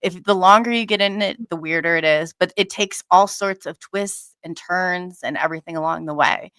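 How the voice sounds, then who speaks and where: A woman hosting a live online show, chatting warmly in a halting way and often restarting her sentences. Her voice sits at a middle pitch. The sound is dry, with no room echo. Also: clear, resonant tone. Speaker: host. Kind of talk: casual online show interview.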